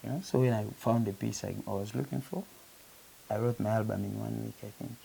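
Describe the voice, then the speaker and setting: A man's voice: lowish voice, fairly even intonation, fairly quick, creaky, warm tone, poor audio. A man from Uganda, a musician being interviewed, talking earnestly in a casual chat and often saying "you know" as he restarts phrases. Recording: faint background hiss.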